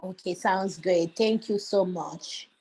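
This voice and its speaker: A woman's voice: lowish voice, slow pace, a little mellow, slightly rough, poor audio. A woman from Haiti, a native Creole speaker with a Haitian accent, speaking casually as a parent at a question session.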